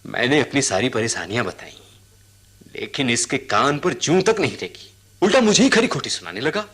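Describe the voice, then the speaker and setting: An actor in a radio drama playing a simple, troubled elephant-catcher, plaintive and halting, often repeating his phrases. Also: mid-range voice, sing-song, fairly bright tone, breathy edge. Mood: troubled, restless.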